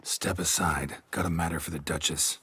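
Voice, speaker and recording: Very deep, deadpan monster hunter. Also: male voice, normal speed, faint music behind. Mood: terse, grave. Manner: clipped, deadpan, drops sentence openings. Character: stoic gruff male monster hunter, weary and matter-of-fact. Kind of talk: video game voice acting.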